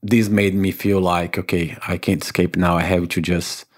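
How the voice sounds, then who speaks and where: Man, from Brazil, very low voice, English, earnest, explaining, podcast guest. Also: flat delivery, moderate pace. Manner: non-native phrasing, earnest and explanatory. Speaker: podcast guest, breathwork instructor. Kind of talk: conversational interview answers.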